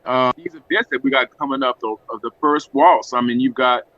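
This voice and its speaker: A man's voice: medium pitch, big pitch swings, fairly quick, vocal fry, sharp tone, no room sound. Man chatting casually, slightly dull audio. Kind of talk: casual unscripted radio talk.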